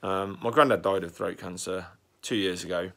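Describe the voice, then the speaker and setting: A young man talking anxiously in a casual vlog, congested and a little raspy from a cold, clearing his throat often. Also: deep voice, normal speed, fairly bright tone. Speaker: vlogger.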